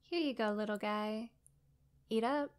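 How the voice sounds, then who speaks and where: Friendly young succubus played by a woman, smooth and highish, playful, for intimate roleplay audio. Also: measured pace, natural voice. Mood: gentle, grateful. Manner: soft, playful, sweetly inquisitive. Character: friendly, curious young female succubus new to human life. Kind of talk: intimate roleplay audio drama, addressed to the listener.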